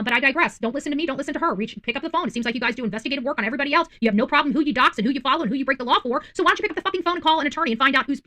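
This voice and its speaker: A woman's voice: a little high, pushed and bright. A woman giving unscripted online commentary to viewers, emphatic and indignant, repeating phrases for stress. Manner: indignant, accusatory. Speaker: self-described independent journalist and online commentator.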